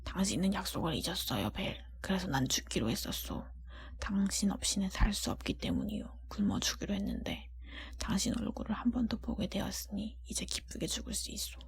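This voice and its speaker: A woman voicing a gentle, lovelorn male beast, a cursed storybook prince, in a solemn, formal, breathy whisper. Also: medium pitch, sing-song, fairly quick, full character voice. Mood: dying sorrow. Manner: solemn, old-fashioned formal speech.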